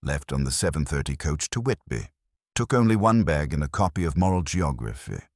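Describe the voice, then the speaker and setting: A man's voice: extremely low pitch, expressive, fairly quick, a little mellow, no room sound, heavily acted. A man voicing a dutiful, matter-of-fact police sergeant in an audio drama, reporting plainly.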